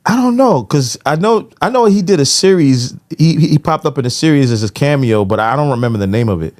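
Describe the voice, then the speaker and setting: A man's voice: fairly high pitch, lively intonation, a little fast, a little mellow, dry recording. A middle-aged man talking casually and slangily as a podcast co-host, often checking in with tag phrases. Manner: slangy, frequent "you know what I'm saying" tags. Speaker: podcast co-host, music industry veteran.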